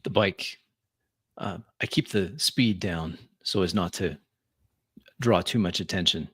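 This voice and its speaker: A man narrating his character's actions in a tabletop roleplay, in measured, dramatic short phrases. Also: sits low, a bit bright, slightly rough, dry recording, studio quality. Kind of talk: immersive first-person roleplay narration.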